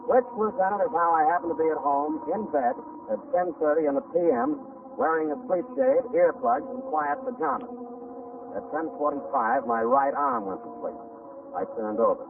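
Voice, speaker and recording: A hard-boiled American private eye narrates a 1940s radio detective story with dry wit. His gravelly voice swoops up and down through a thin, noisy old broadcast recording. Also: fairly high pitch, moderate pace, distant mic, narrow, tinny audio. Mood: weary, wry. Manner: wry, deadpan.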